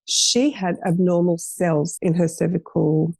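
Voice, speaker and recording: A woman's voice: fairly low pitch, fairly even intonation, measured pace, vocal fry, darkish tone, dry recording, low-quality recording. A middle-aged woman, a podcast guest telling her story in an earnest, rambling way with many restarts. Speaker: guest, cafe owner. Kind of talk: casual interview chat.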